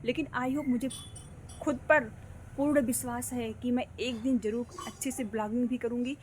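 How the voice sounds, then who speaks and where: Young woman, casual vlog chatter, fairly high voice, outdoors on a phone, faint background noise. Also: fairly even intonation, moderate pace. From Ambedkar Nagar, Uttar Pradesh, India. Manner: chatty, repetitive, addresses viewers as guys. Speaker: vlogger. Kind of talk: casual vlog chat to viewers. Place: outdoors at home near a guava tree.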